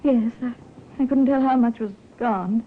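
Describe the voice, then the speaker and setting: An actress playing a composed, scheming young widow in a 1950s radio drama, with halting, theatrical delivery. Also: female voice, on the high side, natural voice. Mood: distressed, confessing. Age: young adult. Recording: tinny, hissy 1950s radio recording.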